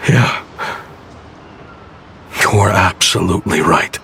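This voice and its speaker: A man's voice: low-pitched, takes it slowly, vocal fry, pushed and bright, slight background noise, heavily acted. A man voice acting a weary, remorseful uncle from the desert in a video game, humble and hesitant. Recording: music behind the voice.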